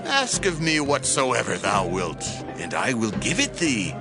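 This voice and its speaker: A man's voice: medium pitch, huge pitch swings. A pompous indulgent king, bible drama, drawn out swooping delivery, music playing behind. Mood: lavish, boastful. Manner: slow, grandly declamatory. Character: King Herod, grand, indulgent male ruler. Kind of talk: dramatized scripture acting. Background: prominent background music.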